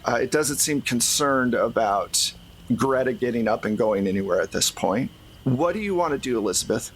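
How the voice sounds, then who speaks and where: Male game master, medium pitch, conversational tabletop narration, audible room noise. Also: animated, moderate pace, rough recording.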